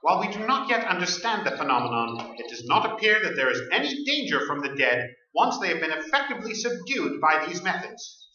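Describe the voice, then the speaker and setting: An actor playing a clinical, measured official making a formal statement in a staged radio play. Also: male voice, high-pitched, very animated, bright, forceful tone, heavily acted. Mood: detached. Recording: echoey stage, distant mic, dull sound.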